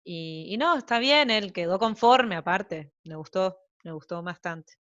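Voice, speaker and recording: A woman's voice: on the low side, lively intonation, a little slow, a bit bright, no room sound, lo-fi sound. A woman with an Argentine accent, a translator being interviewed, talking in a chatty, rambling way with many fillers and asides. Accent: Argentine Spanish. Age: young adult to middle-aged, mother of young children. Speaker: translator guest. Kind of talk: casual interview chat.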